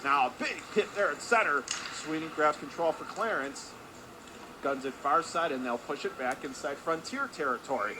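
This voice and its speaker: A man's voice: fairly high pitch, normal speed. A man calling a hockey game live for radio as play-by-play announcer, in clipped running phrases that lift with the action. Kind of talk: live radio sports commentary. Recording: clear background noise of an ice rink.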